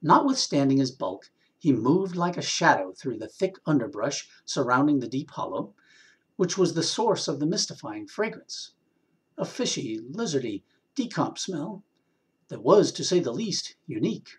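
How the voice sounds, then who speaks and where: A man reading a short story aloud in an expressive, wry storyteller's manner with deliberate pauses. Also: a little high. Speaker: story reader, actor.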